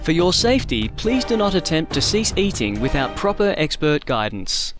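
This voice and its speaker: Male announcer, higher and lively voice, cautionary disclaimer read over music. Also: normal speed.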